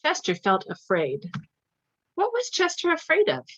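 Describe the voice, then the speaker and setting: A woman's voice: very high voice, huge pitch swings, a little slow, fairly bright tone, no echo. A woman narrating a children's picture book in a read-aloud style, on a slightly dull recording. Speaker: story reader.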